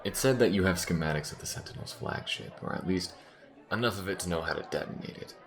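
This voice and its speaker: A man performs a gruff male alien soldier in an audio drama. The voice sits extremely low with a gravelly creak. The delivery turns halting when the soldier gets flustered. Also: a little hiss or hum. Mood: earnest, uneasy. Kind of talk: scripted audio roleplay acting.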